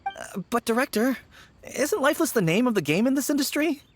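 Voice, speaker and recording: A woman voicing a young male apprentice in a video game, breathy, hesitant and sighing in defeat. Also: high voice, big pitch swings, a little fast, a little hiss or hum. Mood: resigned.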